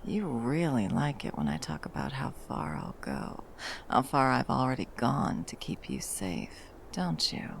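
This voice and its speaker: A woman playing a protective, tender monster hunter in an intimate ASMR roleplay, speaking haltingly and breathily, often dropping toward a whisper. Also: very deep voice, very animated, gravelly, slight background noise, full character voice. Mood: teasing, seductive. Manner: halting, coaxing, speaking to a beloved. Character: wandering female monster hunter, fiercely protective, tender lover.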